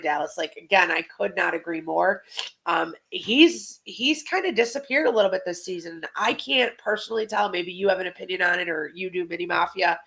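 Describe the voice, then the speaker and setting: A woman's voice: lowish voice, gravelly, fairly bright tone, low-quality recording. A woman hosting a casual football preview podcast, chatting and asking questions, with a slightly congested voice. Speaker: sports podcast host.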